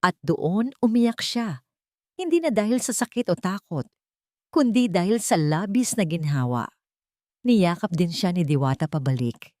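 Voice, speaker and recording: A woman's voice: lowish voice, animated, a little slow, a little mellow, no room sound, studio quality. A woman narrating a scripted romantic drama as a storyteller.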